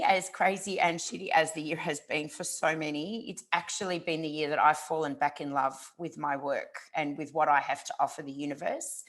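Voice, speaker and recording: A woman's voice: sits low, a bit bright. A woman in her forties, born in Ukraine, giving a conversational talk as a startup founder, full of fillers and restarts. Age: 40s. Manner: chatty, with frequent fillers and restarts. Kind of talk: conference talk over a video call.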